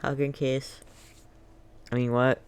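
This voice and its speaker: A man giving casual, halting reaction commentary as he streams a game. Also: mid-range voice, level tone, clean tone.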